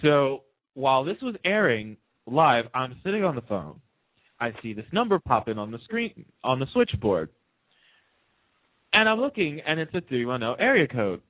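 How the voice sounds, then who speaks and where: A man's voice: mid-range voice, big pitch swings, moderate pace. Guy telling stories in an interview, chatty and into it, lo-fi phone sound. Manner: chatty, enthusiastic storytelling with acted-out quoted dialogue. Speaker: radio host being interviewed as a guest. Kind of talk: casual anecdotal interview chat. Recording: band-limited, lo-fi phone-in radio sound.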